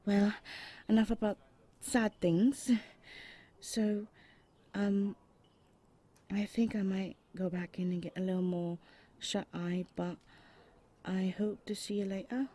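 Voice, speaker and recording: A young adult woman voicing a shy, sweet roommate in an ASMR audio roleplay, speaking softly and hesitantly with breathy whispered asides. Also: mid-range voice, level tone, takes it slowly. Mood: soft, rambling. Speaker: voice actor.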